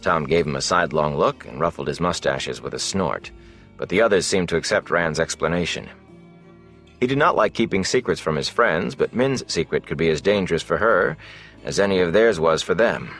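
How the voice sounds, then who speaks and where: Low-voiced male fantasy audiobook narrator. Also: expressive, normal speed, a bit bright, faint music behind.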